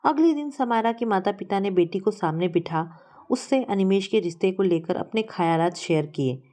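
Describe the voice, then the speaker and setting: A woman's voice: medium pitch, animated, normal speed, mellow. A woman narrating a scripted story as a storyteller.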